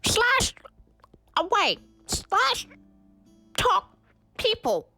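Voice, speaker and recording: A woman voicing an excitable feral monster in a radio drama, speaking in blunt, broken one-word bursts. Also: extremely high pitch, very slow, gravelly, no room sound, soft background music, heavily acted. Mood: eager.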